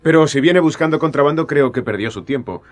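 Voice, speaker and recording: An actor playing a young, frank, easygoing teacher who speaks plainly in a radio drama. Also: male voice, on the high side, a little fast, natural voice.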